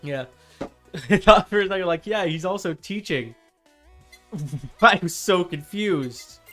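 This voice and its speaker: A man chatting casually and rambling as he streams a video game, with an animated, amused manner. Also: high voice, slow pace. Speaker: live streamer. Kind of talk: casual gaming stream chat. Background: video game music.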